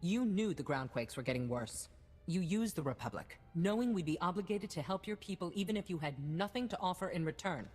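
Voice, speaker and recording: An actress playing a shrewd, stern head of state in a science-fiction video game, with a formal, cutting, authoritative manner. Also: female voice, lowish voice, lively intonation, fairly quick, a little mellow. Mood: angry, accusing. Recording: reverberant hall, music behind.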